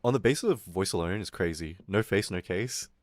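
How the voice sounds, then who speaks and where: A young Australian man rants on his stream with a lively, swinging delivery in a fairly low voice. A hint of American creeps into his accent as he swears and mocks people in put-on voices. His close mic sounds dry, with no room around it. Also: a little fast, dry recording. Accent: Australian with some American. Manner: animated, swearing, mocking voices. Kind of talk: casual ranting stream chat.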